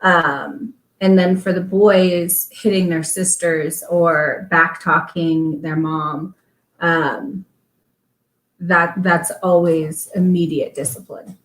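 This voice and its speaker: A woman talking casually as a guest, relaxed with frequent ums and laughs. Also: fairly low pitch, takes it slowly, reverberant, rough recording. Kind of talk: casual chat.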